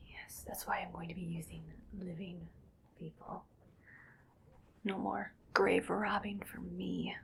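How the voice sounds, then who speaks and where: A woman playing an eerily calm, gleefully morbid mad scientist in an ASMR roleplay, speaking in a hushed, breathy, musing whisper. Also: on the low side, a little hiss or hum, heavily acted. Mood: quietly proud. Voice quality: breathy whisper with soft creaky voicing. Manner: slow, hushed, musing to herself.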